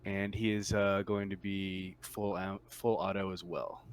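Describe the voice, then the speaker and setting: A man's voice: low voice, flat delivery, measured pace, smooth. A man acting as game master, casually running and narrating a tabletop roleplaying game.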